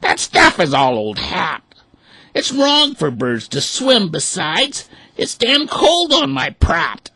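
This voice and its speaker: A man's voice: huge pitch swings, slow pace, sharp tone, breathy edge, no room sound, exaggerated voice. A man doing a cheeky sassy duckling. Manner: high, sassy and dismissive. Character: cheeky, mouthy young male duckling.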